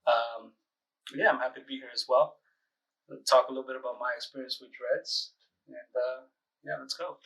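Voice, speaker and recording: A man with an American accent, a guest on a podcast, talking in a relaxed, measured way with many fillers. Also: mid-range voice. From United States, Eritrean family. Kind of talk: casual podcast interview. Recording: voice picked up from a distance.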